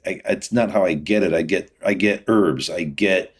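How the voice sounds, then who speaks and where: Man, middle-aged, lowish pitch, casual interview guest, easygoing, rambling explanations. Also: normal speed, husky. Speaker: podcast guest, whiskey distiller.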